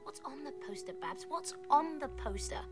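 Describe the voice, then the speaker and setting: A woman playing a polite, determined young English hen who leads the others in an animated film. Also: sits high, normal speed, music in the background. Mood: urgent. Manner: polite, earnest, eager.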